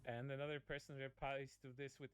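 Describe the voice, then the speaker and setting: A man's voice: medium pitch, level tone, brisk, clean tone. A man narrating a commentary video, reading out posts in a dry, sardonic way with some "uh" fillers. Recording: video audio played on stream with faint music. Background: faint background music.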